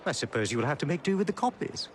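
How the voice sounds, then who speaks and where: A shrewd, dignified Indian prince in a game cutscene. He speaks in courtly, measured, formal phrases, with faint noise behind him. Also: on the high side, big pitch swings. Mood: courteous, businesslike. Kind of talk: video game cutscene acting.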